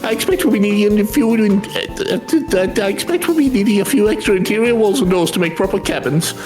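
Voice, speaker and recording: A man's voice: sits high, fairly quick, over music, pitched above the performer's own voice, lightly acted. A man voicing an eager, polite young entrepreneur from a fantasy game, with an earnest, stammering manner.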